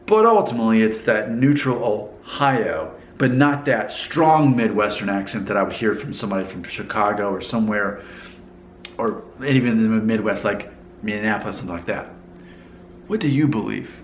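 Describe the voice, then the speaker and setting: A man's voice: fairly low pitch, expressive, moderate pace, reverberant, slight background noise. A man from Alabama with a mostly neutral American accent and a faint Southern hint, musing casually to the camera and asking the viewer questions. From Alabama, USA. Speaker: vlogger.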